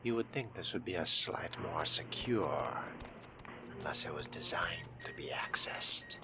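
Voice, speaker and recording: Noise sits clearly behind a man roleplaying a brooding vampire fledgling. His deep, slow voice muses with cool calculation in formal, measured phrases, as in a video game roleplay. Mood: musing, suspicious. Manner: measured, musing to himself, formal wording.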